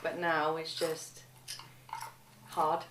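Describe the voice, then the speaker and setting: A woman's voice: low-pitched, completely flat delivery, drawn-out words, far from the mic. A 22-year-old woman speaking candidly in a documentary interview, informal and hesitant, often correcting herself. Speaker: young mother being interviewed. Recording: echoey room with faint background noise.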